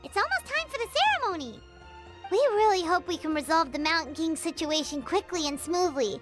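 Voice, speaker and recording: A woman voicing a small, excitable, chatty fairy-like girl companion in a video game, with a perky, childlike manner. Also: very high voice, a little fast, faint music behind. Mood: cheerful. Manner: perky, refers to self in third person. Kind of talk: video game character acting.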